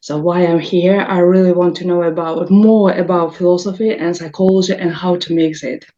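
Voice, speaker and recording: Dark, muffled woman with halting English. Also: lowish voice, steady tone. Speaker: aikido student attendee.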